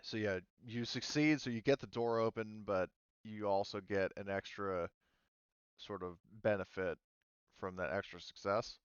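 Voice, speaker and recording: Low, smooth-voiced man as game master. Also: steady tone, dry recording, lo-fi sound. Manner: informal, halting explanations. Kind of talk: running a tabletop roleplaying game.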